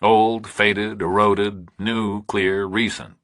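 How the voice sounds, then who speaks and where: A man reading a scripted thriller audiobook with measured, clipped, dramatic phrasing. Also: fairly low pitch, little pitch movement, fairly bright tone, dry recording, studio quality. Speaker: audiobook narrator.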